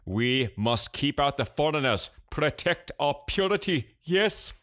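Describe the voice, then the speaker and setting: A prankster's mock-earnest radio supporter in an audiobook. The Pakistani accent is thick and cartoonish, pitched up, with repetitive, over-agreeable phrasing. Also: male voice, big pitch swings, a little slow, fairly bright tone, clear, resonant tone. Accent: exaggerated Pakistani.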